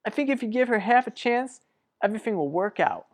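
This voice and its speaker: An actress playing an earnest, protective sister in a radio soap drama, speaking deliberately and persuasively. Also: female voice, on the high side, lively intonation. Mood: pleading. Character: earnest, protective sister pleading for a troubled couple. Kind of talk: revived vintage radio soap drama acting.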